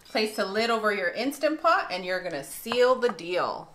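A woman in her thirties hosting a casual cooking demonstration in a bright home kitchen, chatty and upbeat, laughing often. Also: mid-range voice, big pitch swings, unhurried, slight background noise. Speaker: cooking show host.

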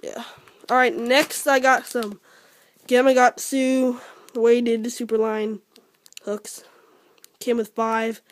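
A teenage boy with an American accent talking casually and a little haltingly as he shows things to a handheld camera at home. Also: very high-pitched, level tone, no echo. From Georgia, United States. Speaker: fishing vlogger. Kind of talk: casual unscripted product showcase. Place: room at home. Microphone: handheld camera at arm's length.

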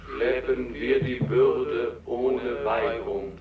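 A man's voice: medium pitch, little pitch movement, slow pace, over a noisy crowd, close to an everyday voice. An actor playing a weary, resigned male shade of the dead, reciting solemnly in a radio play. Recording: dull, distant, echoey, loud music.

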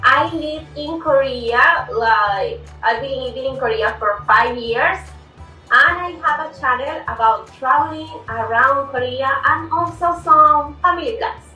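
Bright-voiced Colombian woman on an echoey call. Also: high voice, unhurried, soft background music. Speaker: guest vlogger. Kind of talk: casual video call chat. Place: home room. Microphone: laptop webcam mic on a video call. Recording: echoey distant video call audio.

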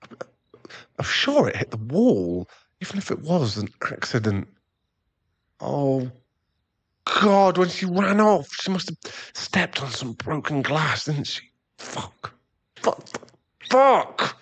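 A man's voice: fairly high pitch, very animated, slow pace, pauses often, fairly bright tone, breathy edge, exaggerated voice. A man playing an irritable, defensive, hard-drinking werewolf boyfriend and expectant father in an ASMR roleplay audio drama, given to sighs and snappish remarks. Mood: panicked guilt.

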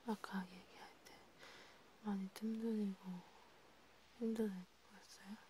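A woman playing a gentle, patient young vampire counselor in a halting, half-whispered ASMR roleplay, speaking softly and reassuringly. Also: mid-range voice, level tone, slight background noise, close to an everyday voice. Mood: sympathetic. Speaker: amateur ASMR creator.

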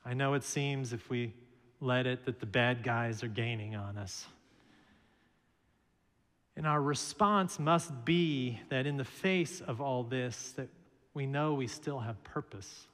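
Slow-preaching minister in a reverberant church. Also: male voice, medium pitch, a bit bright. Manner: slow, measured preaching with storytelling asides. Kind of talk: sermon. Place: large church sanctuary, at a pulpit. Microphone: pulpit microphone.

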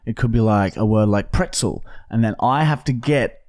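A man in his thirties with an Australian accent, chatting casually and deadpan as a prank-calling podcast host on a close studio microphone. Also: on the low side, normal speed. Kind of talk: casual, deadpan phone chat. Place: small podcast studio, indoors. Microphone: close boom-arm studio microphone with headset.

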